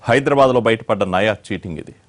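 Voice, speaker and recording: A man's voice: on the low side, quick pace. A man reading a dramatic scripted news introduction as a voice-over.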